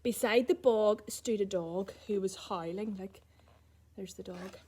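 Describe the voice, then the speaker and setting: A woman's voice: medium pitch, a little slow, darkish tone, husky. A woman reading a children's picture book aloud as storyteller, with little asides to young listeners about the pictures.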